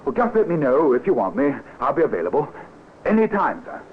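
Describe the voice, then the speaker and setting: An actor playing a flustered, stammering, polite gentleman in an old-time radio drama, on a scratchy, tinny recording. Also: mid-range voice, sing-song, fairly quick, natural voice. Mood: nervously polite. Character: nervous, polite British gentleman, eager to oblige.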